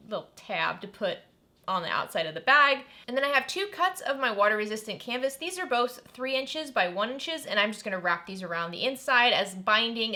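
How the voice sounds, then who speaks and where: Upbeat woman narrating a sewing how-to, crisp bright voice, chatty and easygoing. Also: on the high side. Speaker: sewing tutorial host. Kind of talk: casual step-by-step craft tutorial.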